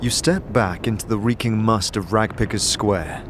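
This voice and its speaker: A man's voice: lowish voice, moderate pace, noisy background. A man delivering scripted second-person narration as the narrator of a fantasy story.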